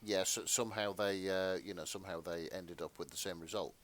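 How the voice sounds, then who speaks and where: Lowish-voiced man in casual podcast chat. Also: steady tone, brisk, a bit bright. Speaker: podcast co-host.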